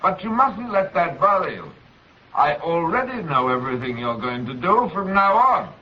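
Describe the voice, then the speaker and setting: A man playing a coolly taunting middle-aged kidnapper in a 1940s radio drama, with smug, formal, deliberate delivery. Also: on the high side, very animated, bright, forceful tone, heavily acted. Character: tall sinister fifty-year-old kidnapper, coolly taunting experimenter. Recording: muffled vintage 1940s radio recording.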